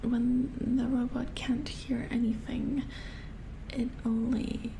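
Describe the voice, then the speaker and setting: A woman playing a calm, amused robot repair engineer in an ASMR roleplay, whispering breathily as she patiently explains in halting, musing phrases. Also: a little high, vocal fry, noisy background. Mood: soothing, explanatory. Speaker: ASMR performer. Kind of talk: soft-spoken ASMR roleplay.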